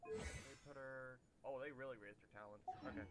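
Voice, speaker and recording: A man's voice: mid-range voice, fairly even intonation, moderate pace. A man giving casual gameplay commentary as a streamer, with offhand, reactive asides. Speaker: gaming streamer. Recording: very noisy, with game sounds and clicks.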